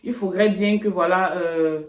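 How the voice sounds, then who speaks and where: A woman from Cameroon, chatting reflectively in a casual couple vlog, with frequent pauses. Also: fairly low pitch, fairly even intonation, reverberant. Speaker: vlogger.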